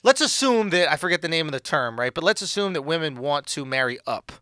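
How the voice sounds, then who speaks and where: Brash, high-pitched man riffing on a podcast. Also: fast talker, clear, resonant tone, dry recording, studio quality. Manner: brash, animated riffing with slang and profanity. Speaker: podcast host and comedian. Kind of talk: casual comedic podcast banter.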